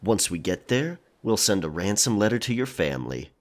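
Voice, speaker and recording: An actor playing a stern pirate quartermaster in a historical romance audio drama, brusque, controlled and threatening, yet reasonable. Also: male voice, fairly low pitch, sing-song, normal speed. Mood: matter-of-fact.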